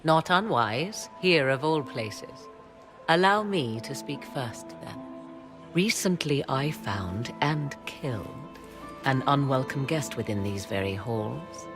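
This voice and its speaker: An actress playing a sly, mocking court sorceress in a video game cutscene, speaking slowly and teasingly. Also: female voice, rumbling low, animated, heavily acted. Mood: measured. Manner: slow, teasing, measured. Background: music.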